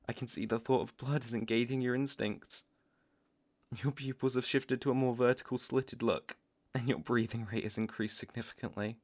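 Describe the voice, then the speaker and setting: A man acts out a young British vampire hunter in an intimate ASMR roleplay. He is stern and menacing with a reluctant protective streak. His delivery is slow and deliberate, broken by sighs and pauses, at an average male pitch.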